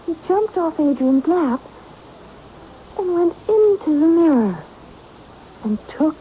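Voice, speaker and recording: A woman's voice: high-pitched, big pitch swings, slow, heavily acted. An actress playing a distraught, possessive woman obsessed with her cat in a radio drama. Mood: intense wonder. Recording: dull, hissy old radio recording.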